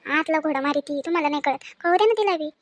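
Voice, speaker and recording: A woman playing a nagging, quick-tongued village wife full of grievances in an acted family story, scolding in a colloquial rural Maharashtrian accent. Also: high voice, no room sound, natural voice. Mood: insistent, grumbling.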